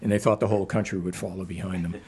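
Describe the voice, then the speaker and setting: A man speaking informally as a poet at a live reading, rambling and self-deprecating, with a husky voice. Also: sits low, fairly even intonation, rapid-fire, darkish tone. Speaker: poet introducing and reading own poem. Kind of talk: informal live poetry reading introduction with anecdotes.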